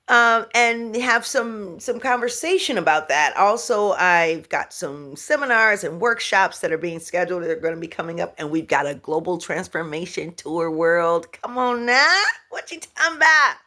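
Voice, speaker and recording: A woman's voice: on the low side, sharp tone. A woman giving a casual spiritual talk to her viewers, laughing often, humming and scatting between thoughts, and using pet names for her audience. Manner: repeats and restarts words, pet names for the audience. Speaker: spiritual teacher.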